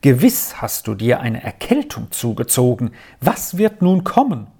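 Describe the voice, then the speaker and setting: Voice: medium pitch, big pitch swings, a little slow, vocal fry, a little mellow, voice pushed up, full character voice. A storybook narrator voicing an anxious, fretful middle-aged aunt who laments and frets over every danger. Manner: lamenting, wailing complaints.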